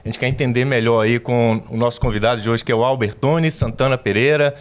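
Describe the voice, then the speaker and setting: A man's voice: medium pitch, fairly even intonation, fast, clean tone. A man co-hosting a podcast, asking the guest long, conversational questions. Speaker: podcast co-host. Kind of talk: casual interview questions.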